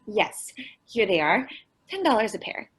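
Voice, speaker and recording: A woman acts a sweet, scatterbrained young woman from old-time radio comedy. Her voice sits at a middle pitch with a slightly rough, husky edge. She sounds earnest and completely literal-minded. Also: sing-song, unhurried, fairly bright tone, exaggerated voice. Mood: naive, cheerful.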